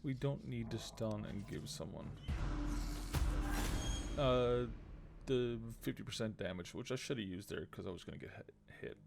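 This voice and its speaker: Low, gravelly man streaming a game. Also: moderate pace. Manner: thinking aloud, muttering to himself. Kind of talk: casual live gameplay commentary. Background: game music.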